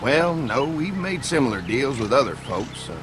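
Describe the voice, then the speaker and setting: A man voices an easygoing young dairy farmer in a video game. His Southern drawl is folksy and friendly, with lively swings in pitch. There is clear noise behind the voice. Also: normal speed, natural voice. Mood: affable.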